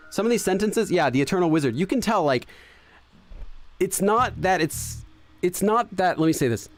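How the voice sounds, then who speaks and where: A man's voice: high voice, lively intonation, normal speed, pauses often, faint music behind. A man hosting a gaming channel, thinking aloud in casual let's-play commentary and hesitating with fillers and restarts as he translates for himself. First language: English.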